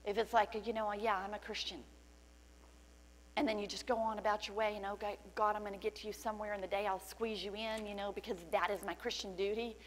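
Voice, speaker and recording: A middle-aged woman pastor preaching a sermon with fervent praise and scripture reading, her voice slightly cracking from loudness. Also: mid-range voice, little pitch movement, a little fast. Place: large church stage indoors. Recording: long hall reverb, faint hum.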